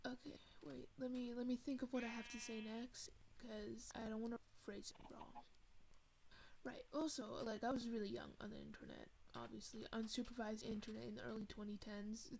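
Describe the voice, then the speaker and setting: A woman's voice: fairly high pitch, little pitch movement, normal speed, gravelly, crowd noise, low-quality recording. A young woman from Thailand streaming a game, telling a story in a chatty, rambling way full of fillers and asides. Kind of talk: casual storytelling while gaming.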